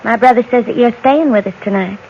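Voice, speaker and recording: An actress playing a polite, composed young innkeeper's daughter in an old radio Western, formal and courteous. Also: female voice, fairly high pitch, quick pace, lightly acted. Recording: muffled, hissy old radio recording.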